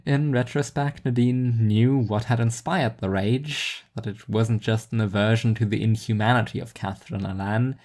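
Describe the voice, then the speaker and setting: A woman reading scripted literary fiction as a narrator, in a measured, wry way. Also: a bass voice, steady tone, a little mellow.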